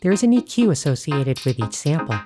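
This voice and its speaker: A woman hosting a tutorial, narrating scripted explanations in a clear, explanatory tone. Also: sits low, big pitch swings, a little fast, music in the background. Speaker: host.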